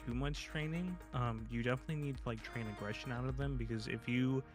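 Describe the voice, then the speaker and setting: A man's voice: medium pitch, steady tone, quick pace. A man chatting casually as a guest, rambling with many fillers. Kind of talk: casual chat. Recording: music playing behind.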